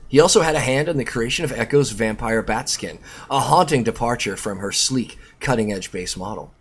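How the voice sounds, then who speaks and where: A man with a slightly rough, husky voice sitting at an average male pitch. He reads an article aloud as the host of a video, casual in delivery, and tends to interrupt himself with informal, sweary remarks. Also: brisk. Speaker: YouTube host and reviewer.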